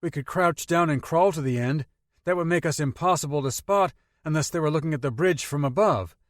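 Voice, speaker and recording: A man's voice: a little high, no room sound, clean studio sound, pitched above the performer's own voice, close to an everyday voice. A man voicing a tough, decisive adult woman who talks bluntly and a bit sarcastically, in an audiobook reading. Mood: practical. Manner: brisk, no-nonsense, a little sarcastic.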